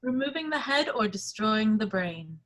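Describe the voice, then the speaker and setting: A woman playing a formal, measured television newsreader in an amateur screenplay table read. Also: a little high, lively intonation, a bit bright. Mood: official. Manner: formal, official.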